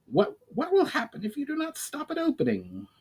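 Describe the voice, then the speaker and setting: A man's voice: very high-pitched, very animated, normal speed, vocal fry, darkish tone, pitched above the performer's own voice, full character voice. A man voicing a concerned, inquisitive male goblin companion in a fantasy story, with formal questions. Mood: worried curiosity.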